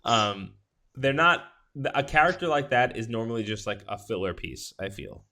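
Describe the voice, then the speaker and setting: A man co-hosting a podcast in a casual discussion, agreeable and halting, often rephrasing himself mid-sentence. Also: mid-range voice, animated, bright, forceful tone. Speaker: podcast co-host.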